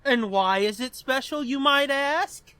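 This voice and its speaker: Man, podcast co-host, high-pitched, bright voice, slow drawl, loud crude joking and laughter. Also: smooth, dry recording. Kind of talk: casual comedic podcast banter.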